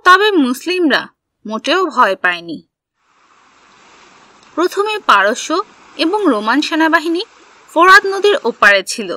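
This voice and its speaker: A woman narrating a scripted history story for children, telling it in simple, deliberate phrases. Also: high-pitched, very animated, sharp tone.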